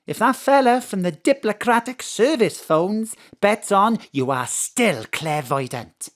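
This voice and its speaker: An excitable Welsh mother, with a voice that swings widely in pitch. She is doting, nosy and scatty, teasing as she muddles her words in a comic audio drama. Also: takes it slowly.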